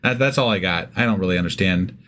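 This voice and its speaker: A man's voice: sits low, fast, fairly bright tone, slight background noise. A man co-hosting a podcast, chatting casually in a rambling, hedging, informal way. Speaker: podcast co-host. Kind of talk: casual chat.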